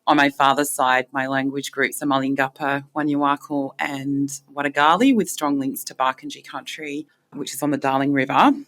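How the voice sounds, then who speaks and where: A woman's voice: very deep voice, fairly even intonation, normal speed, a bit bright. A woman with an Australian accent from country New South Wales, talking reflectively about her own experience as an interviewee. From far western New South Wales, Australia. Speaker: interviewee, media professional.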